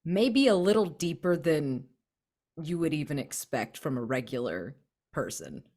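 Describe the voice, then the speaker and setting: A woman's voice: deep voice, big pitch swings, a little slow, darkish tone. A woman playing a tabletop game, casually improvising a description.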